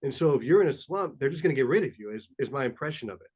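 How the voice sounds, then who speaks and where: A man's voice: mid-range voice, lively intonation, rapid-fire, darkish tone, husky. A man hosting a sports podcast, asking curious questions with enthusiastic interest. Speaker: podcast host. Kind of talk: casual podcast chat.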